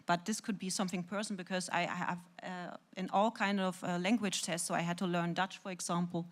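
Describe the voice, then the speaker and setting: A woman's voice: on the low side, level tone, a little fast. A native German-speaking woman with a German accent, talking spontaneously as an academic on a discussion panel.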